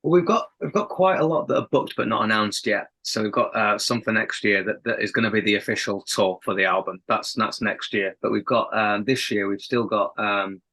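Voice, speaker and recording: A man's voice: on the low side, a little fast, hardly any pauses, pushed and bright. A young man with a faint Sheffield accent, a band's lead singer chatting as a guest in a casual podcast interview, rambling and laughing often. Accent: Sheffield, softened. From England.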